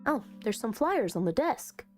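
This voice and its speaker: Woman, high voice, very lively intonation, chatty game commentary, dramatic self-talk, music behind. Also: normal speed. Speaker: game streamer. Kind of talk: casual visual novel playthrough commentary, reading text aloud.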